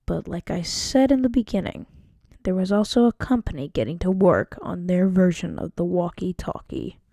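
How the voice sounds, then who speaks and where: A woman's voice: fairly low pitch, moderate pace, warm tone, dry recording, clean studio sound. A woman student presenter reading scripted documentary narration, occasionally stumbling over a word.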